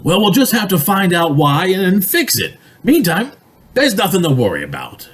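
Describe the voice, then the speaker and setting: Man playing a high-pitched, blustering company boss. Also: wildly swooping pitch, moderate pace, a bit bright, breathy edge, exaggerated voice. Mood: dismissive, blustering. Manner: bossy, sputtering, emphatic. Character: blustering, excitable male company boss, in denial then panicking. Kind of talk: radio drama acting.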